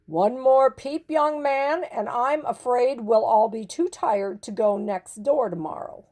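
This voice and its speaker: A woman's voice: a little high, measured pace, a little mellow. A woman voicing a firm, weary father of a small boy in a storybook, stern and admonishing. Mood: stern warning.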